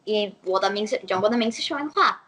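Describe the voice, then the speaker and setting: A girl in her early teens from the United States, chatting in an interview and often pausing to search for words. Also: medium pitch, expressive, sharp tone. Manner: halting, searching for words, giggly. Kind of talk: casual multilingual chat.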